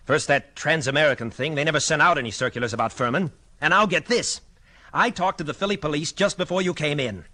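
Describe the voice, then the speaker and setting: An actor playing an irritable, accusing district attorney with a testy, insistent manner, in 1940s radio crime drama style. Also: male voice, a little high, bright, forceful tone.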